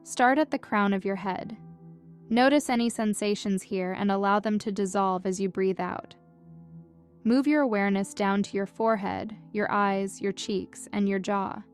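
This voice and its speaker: A woman leads a guided meditation with a mid-range voice. Her delivery is gentle, calm and measured, like scripted instructions meant to soothe. Music plays behind her. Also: medium pitch.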